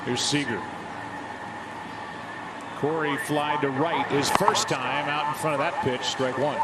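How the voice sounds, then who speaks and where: A man's voice: on the high side, measured pace, very noisy. A man with an American accent calling live baseball play-by-play as a sports announcer.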